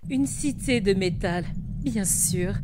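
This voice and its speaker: A woman's voice: high-pitched, steady tone, normal speed, background noise, close to an everyday voice. A woman voicing a proud, defiant forest priestess in a dramatic comic dub, haughty and indignant. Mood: bitter, scornful.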